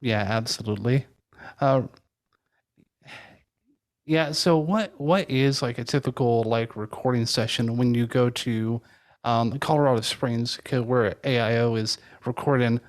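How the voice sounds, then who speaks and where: A man with a medium-pitched voice interviews a guest on a podcast. His phrasing is informal and somewhat hesitant, in an easy conversational style. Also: steady tone, a little slow.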